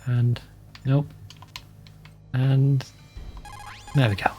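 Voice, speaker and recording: A man's voice: mid-range voice, little pitch movement, very slow, mellow. A man giving casual commentary as he plays a video game. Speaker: let's play host. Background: game music, keyboard typing.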